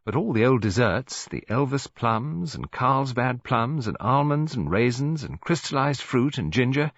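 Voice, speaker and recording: A man's voice: mid-range voice, animated, a little slow, no echo, highs cut off, pitched above the performer's own voice. Man voicing a genteel, chatty elderly English lady, swooping intonation. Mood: chatty, cheerful. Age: older. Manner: chatty, rambling, polite tag questions.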